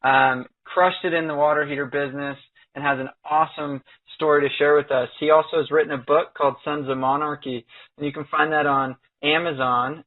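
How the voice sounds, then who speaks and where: An enthusiastic male podcast host speaks in an upbeat, casual way. His voice sits a touch high. The sound is dull and lo-fi.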